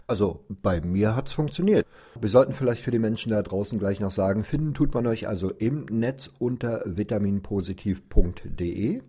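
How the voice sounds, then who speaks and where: A man's voice: lowish voice, normal speed, dark tone, husky. A man hosting a casual, conversational interview as the one asking questions.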